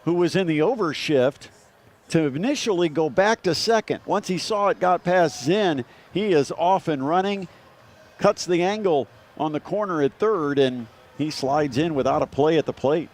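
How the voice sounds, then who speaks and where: American male baseball commentator, slightly high pitch. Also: normal speed. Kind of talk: live baseball commentary and analysis.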